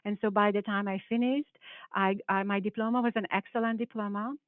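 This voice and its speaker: A woman with a Hungarian accent, a biology professor giving an earnest, halting panel talk over a video call. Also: medium pitch, fairly even intonation, dry recording. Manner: hesitant, restarts phrases, earnest. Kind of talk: panel presentation with slides. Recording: tinny phone-like call audio.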